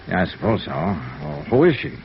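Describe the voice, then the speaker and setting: An actor playing a businesslike insurance investigator in radio noir, with a clipped, matter-of-fact delivery. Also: male voice, low voice, sing-song, moderate pace, slight background noise. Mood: persistent. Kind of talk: 1950s radio noir acting and narration. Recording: muffled vintage radio recording.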